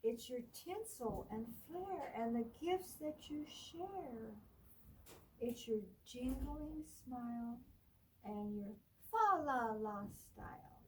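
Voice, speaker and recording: Soft-spoken storytime librarian, slow and distant. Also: female voice, fairly high pitch, lively intonation, a little hiss or hum. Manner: gentle sing-song reading, dropping to whispers. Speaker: children's librarian. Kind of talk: children's storytime read-aloud.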